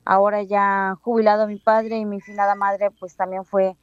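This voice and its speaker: A woman's voice: mid-range voice, little pitch movement, moderate pace, clean tone, no room sound, muffled recording. A Mexican woman schoolteacher in her thirties with a Mexican Spanish accent, telling her story in an interview with many fillers and restarts. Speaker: bilingual schoolteacher.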